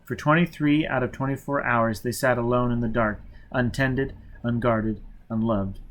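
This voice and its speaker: A man's voice: medium pitch, fairly even intonation, normal speed. Man narrating fiction in a mid-range voice. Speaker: audiobook reader. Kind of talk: dramatic scripted narration.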